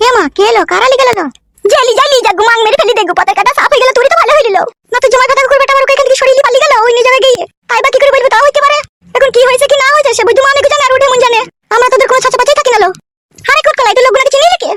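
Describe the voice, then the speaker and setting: A woman voicing a bossy, sharp-tongued village wife in a comic cartoon, with a pitched-up voice. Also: racing, a little hiss or hum. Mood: blunt scolding.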